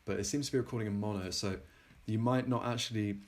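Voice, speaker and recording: Low-voiced man giving a halting tech demo. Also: steady tone. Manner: hesitant, halting, often pausing mid-sentence. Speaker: plugin developer presenting a demo. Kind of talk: informal technical demo narration.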